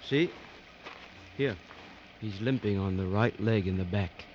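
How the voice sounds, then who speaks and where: A dull, slightly noisy vintage radio recording. An actor plays a male safari tracker, watchful and loyal, who speaks in terse, clipped phrases with a slightly low voice. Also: unhurried, a little mellow, lightly acted. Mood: intent. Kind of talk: 1950s radio drama acting. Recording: muffled, noisy old radio drama recording.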